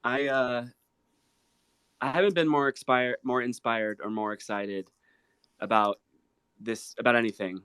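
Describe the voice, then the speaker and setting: An American man, a singer-songwriter guest, talking casually and from the heart in an earnest, effusive, halting way. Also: mid-range voice, fairly even intonation, a bit bright, clean tone, no room sound. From Ohio, United States. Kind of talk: casual heartfelt chat between live songs.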